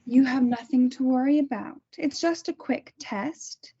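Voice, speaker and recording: Woman reading a falsely sweet mad-doctor mother, high voice, soft and husky, dull lo-fi sound. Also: unhurried, heavily acted. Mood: sweetly menacing. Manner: sugary, calm and menacing. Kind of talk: amateur table read. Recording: muffled lo-fi recording.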